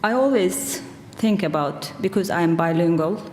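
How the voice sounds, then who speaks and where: A woman's voice: fairly low pitch, a little mellow. A woman in her forties, a poet whose first language is Kurdish, speaking English with a Turkish accent, answering thoughtfully on a festival panel into a table microphone. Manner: slow, thoughtful, searching for words. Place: large hall with audience. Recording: roomy hall reverb, faint room noise.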